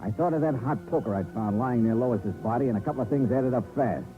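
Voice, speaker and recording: An actor playing a hardboiled, shrewd investigator who narrates and questions in a clipped, sardonic 1950s radio-drama style. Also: male voice, mid-range voice, quick pace, lightly acted. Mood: brooding narration. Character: hardboiled, shrewd male insurance investigator narrating his case. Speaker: narrator and lead detective. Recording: tinny old radio recording with music.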